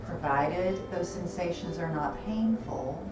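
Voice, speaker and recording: A woman teaching a gentle yoga class, calmly cueing breath and movement, her voice a little gravelly and hoarse. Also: on the low side, slow. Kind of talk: gentle guided yoga instruction. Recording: roomy, distant, music behind.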